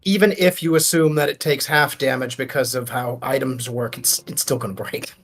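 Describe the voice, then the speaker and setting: A man's voice: medium pitch, steady tone, normal speed, fairly bright tone. A man playing a tabletop game, casually explaining rules and tactics. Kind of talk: casual game strategy talk. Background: music.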